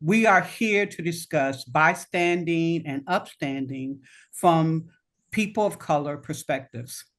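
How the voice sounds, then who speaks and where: A woman from Indiana hosting a podcast interview, reading a scripted introduction in a measured, welcoming way. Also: low-pitched. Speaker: podcast host.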